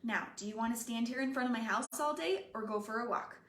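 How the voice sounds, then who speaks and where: A woman's voice: fairly high pitch, fast, a bit bright, a little hiss or hum, close to an everyday voice. A woman reading a teasing teenage girl. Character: spirited, caring teenage girlfriend. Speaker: reader. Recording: roomy echoey room.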